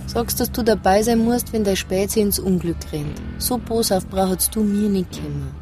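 A direct Bavarian policewoman played by an actress with a mid-range voice. She speaks in pointed, needling everyday dialect in a naturalistic radio play, with clear music behind her. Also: medium pitch, moderate pace, close to an everyday voice. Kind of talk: naturalistic radio drama dialogue.

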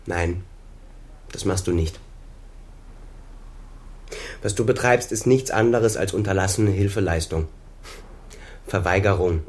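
An embittered son in his thirties in a radio crime drama. The voice sits low. He speaks deliberately and pointedly, with reproach under the words. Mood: accusing, insistent. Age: 30s. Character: bitter, accusing son of a doctor, thirty-six.

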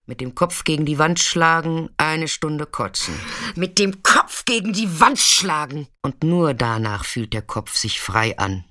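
An actress playing a troubled, bitter, vulnerable woman who recounts a hard life in deliberate fragments in a radio play. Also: sits low, lively intonation, noisy background. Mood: bleak, weary. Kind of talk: theatrical radio play monologue in German.

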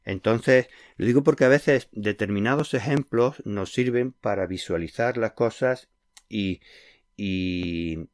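A man's voice: lowish voice, slow, dry recording, clean studio sound. A man hosting a radio interview, explaining things earnestly with concrete examples. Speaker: radio host.

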